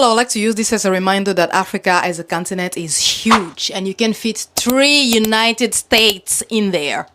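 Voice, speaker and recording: A young woman from Congo giving earnest, animated commentary, laughing now and then between points. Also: mid-range voice. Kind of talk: scripted talking-head commentary.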